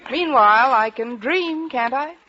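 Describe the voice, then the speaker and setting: An American actress playing a wisecracking, sardonic English teacher with dry delivery in a 1940s radio comedy. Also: female voice, sits high, measured pace. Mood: wry, wistful. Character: wisecracking woman high school English teacher, dreamy and sardonic. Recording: dull vintage radio recording.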